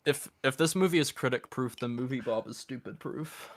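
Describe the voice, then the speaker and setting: A man's voice: medium pitch, a little fast. A man chatting as a guest, blunt and mocking in his remarks. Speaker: podcast guest. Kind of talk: casual podcast chat.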